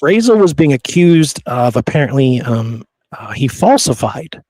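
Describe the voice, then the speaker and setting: A man's voice: medium pitch, big pitch swings, measured pace, dark tone, dry recording. A man hosting a history podcast, telling the story in an informal, conversational way and often checking in with the listener. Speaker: podcast host.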